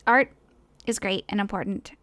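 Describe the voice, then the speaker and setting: A woman's voice: medium pitch, steady tone, moderate pace, a bit bright. A woman giving a casual, rambling personal monologue as an online creator, speaking informally and earnestly, often hesitating and interrupting herself. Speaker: online animator and video creator.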